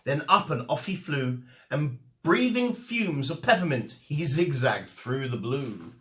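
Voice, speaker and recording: A man reading a children's storybook aloud as a storyteller, with expressive, dramatic emphasis. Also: mid-range voice, a little slow, slight background noise. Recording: echoey room.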